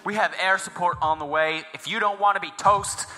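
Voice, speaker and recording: Man acting as a sharp, reverberant commando on loudspeaker. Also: sits high, fairly even intonation, fairly quick, faint music behind, pitched above the performer's own voice. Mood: cold warning. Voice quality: vocally enhanced, amplified. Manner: curt, threatening. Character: stern NetWatch commando demanding surrender over loudspeaker. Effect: voice enhancer with long reverb.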